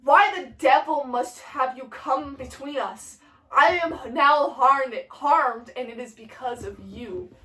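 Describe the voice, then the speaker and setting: Echoey, distant recording. Female performer as brash young male duelist, high-voiced, melodramatic, declaiming in slang for an amateur play. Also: takes it slowly, pushed and bright, heavily acted. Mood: wounded, bitter. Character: Mercutio, cocky taunting young male brawler, melodramatic when wounded. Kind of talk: amateur retelling of a stage tragedy. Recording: echoey room, distant microphone.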